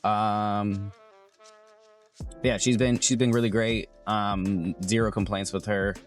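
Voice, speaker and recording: Man, hoarse, raspy, very deep voice, rambling casual vlog chat. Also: level tone, takes it slowly, faint music behind. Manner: rambling, loses his train of thought. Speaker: vlogger.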